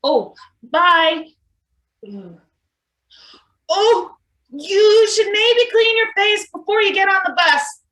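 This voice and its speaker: A woman playing a mild, weary maintenance worker in a stage play reading, halting and understated. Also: very high-pitched, lively intonation, fairly bright tone, heavily acted, poor audio. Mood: awkward, dry. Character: hesitant, mild-mannered, weary male maintenance worker named Izzy. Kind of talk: live table read of a satirical stage play.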